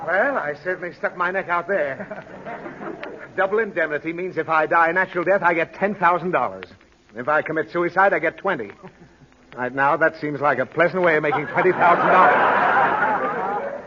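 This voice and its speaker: A man's voice: on the high side, normal speed, lightly acted. An actor playing an exasperated, sleep-deprived father in 1940s radio comedy, pleading, scolding and blustering as he gets flustered. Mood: weary, scolding. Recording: muffled, hissy old radio recording.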